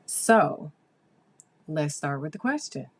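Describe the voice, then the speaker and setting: A hoarse woman hosting a psychology podcast, talking casually and explaining things with fillers. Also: deep voice, sing-song, takes it slowly, dry recording. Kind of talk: casual solo podcast talk.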